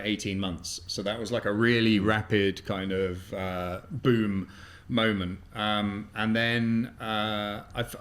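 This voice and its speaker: A man in his fifties, a guest in a casual interview, talking in a halting way with many fillers. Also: fairly low pitch, fairly bright tone. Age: 50s. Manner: hesitant, heavy on fillers. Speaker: guest, business buyer.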